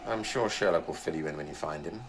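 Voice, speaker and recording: A man's voice: rumbling low, fast, background noise. An actor playing a wry, secretive British intelligence man with clipped, jovial, old-fashioned phrasing in an audio drama. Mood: knowing, genial. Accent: British English.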